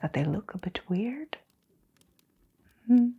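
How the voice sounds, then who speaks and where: A woman playing a welcoming, gentle old-bookshop keeper in an ASMR roleplay, speaking in a soft, unhurried whisper with little hums and pauses. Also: medium pitch, expressive, phone-quality sound, heavily acted. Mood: musing. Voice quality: soft breathy whisper with creak.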